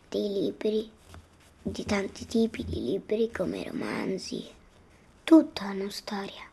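A woman talking slowly and haltingly, pondering as she goes. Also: medium pitch, vocal fry, a little mellow, breathy edge.

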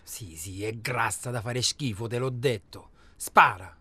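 A gruff, impatient guide to a hunter, recorded very dry. His voice sits at a middle pitch, and he is blunt, terse and insistent in a natural radio-play style. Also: a little slow, bright, forceful tone, no echo. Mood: impatient, urgent. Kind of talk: naturalistic radio drama acting.

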